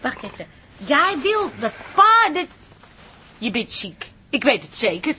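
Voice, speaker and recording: An actress playing a down-to-earth, exasperated wife in a comic radio drama, speaking bluntly and colloquially. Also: female voice, sits high, sing-song, measured pace, bright, forceful tone, slight background noise, full character voice. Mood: puzzled.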